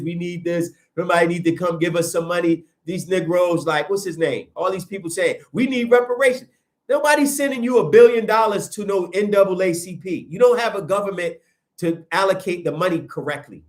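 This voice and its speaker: A man hosting a livestream, preaching in a casual, animated way and answering viewer comments as he goes, with a sniffly nose. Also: sits high. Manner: animated, preachy, rises sharply when emphatic. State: sniffling from sinuses. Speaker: livestream host.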